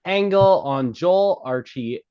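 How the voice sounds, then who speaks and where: A man's voice: high-pitched, animated, takes it slowly, clean tone. A man narrating, reading out screenplay stage directions at a table read. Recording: dull, lo-fi sound.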